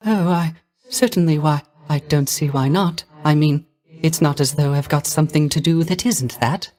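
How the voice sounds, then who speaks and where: A man acting as a young, melancholy court musician of the underworld for a video game. His voice is strikingly high and airy for a man. He speaks softly and hesitantly, with wistful, theatrical courtesy. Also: normal speed, close to an everyday voice. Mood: flattered, shy.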